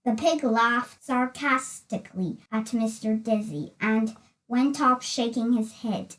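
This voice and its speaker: A woman reading a children's story aloud in an expressive, deliberate way. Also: on the high side, recorded from a distance, poor audio. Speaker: storybook reader.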